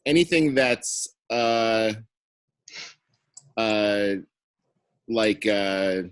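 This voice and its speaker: A man's voice: lowish voice, lively intonation, very slow, clean tone, no echo. A man taking part in a casual work call, hesitant and searching for his words as he talks. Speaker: meeting participant. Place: online conference call.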